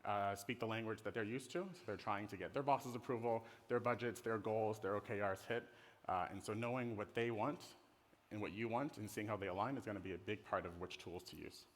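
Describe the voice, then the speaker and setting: Low-voiced American man presenting in a hall. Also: a little fast. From Netherlands. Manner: casual, playful, conversational. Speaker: conference speaker, design director. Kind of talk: informal conference presentation. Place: conference stage in a hall. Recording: reverberant hall.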